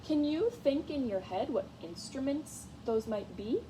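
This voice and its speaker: Lively high-voiced woman teaching young children. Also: measured pace, background noise. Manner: expressive, encouraging, asks questions of young listeners. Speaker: music teacher. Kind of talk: read-aloud lesson for young children. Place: school classroom, in a reading corner.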